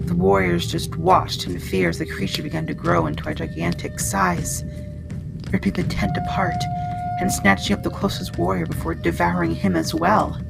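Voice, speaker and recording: A woman playing a friendly, soft-spoken female wendigo in an ASMR storytelling roleplay. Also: fairly low pitch, fairly even intonation, moderate pace, vocal fry, poor audio. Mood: grave. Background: music.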